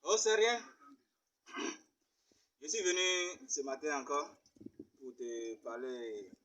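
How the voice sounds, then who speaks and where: A man's voice: fairly high pitch, takes it slowly, fairly bright tone, rough recording. A man playing a persistent, churchgoing suitor who earnestly begs a woman to forgive him and marry him. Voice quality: earnest, begging manner.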